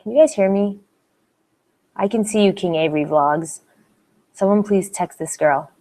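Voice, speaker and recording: A young woman from Canada chats through a live stream Q&A in a voice of ordinary pitch for a woman. She is bubbly and talkative, giggles often, and fills her answers with "um" and "like" as she reads and answers viewer comments. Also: moderate pace, mellow. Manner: bubbly and chatty, giggles often, many fillers and restarts. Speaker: YouTuber hosting a live Q&A.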